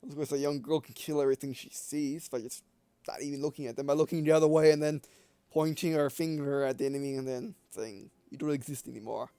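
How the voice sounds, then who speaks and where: Mellow, offhand male gamer commentary. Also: on the high side, fairly even intonation, fast, smooth, no echo. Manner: hesitant, offhand, rambling. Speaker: gamer playing and commenting on a visual novel. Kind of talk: casual let's play commentary.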